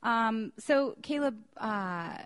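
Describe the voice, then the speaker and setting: A woman's voice: fairly high pitch, animated, very slow. A woman with an American accent hosting a news and culture podcast in a casual, chatty style. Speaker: podcast host.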